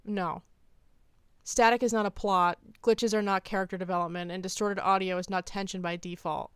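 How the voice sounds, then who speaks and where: Woman doing a video essay, smooth clear voice, kinda deadpan, really dry close sound. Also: mid-range voice, normal speed, no room sound. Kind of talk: scripted opinion commentary.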